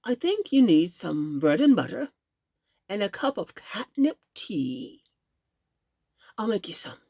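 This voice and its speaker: A woman voicing a kindly old gentleman rabbit who speaks in a gentle, polite, measured way in a storybook reading. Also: mid-range voice, big pitch swings, husky, no room sound, studio quality, full character voice.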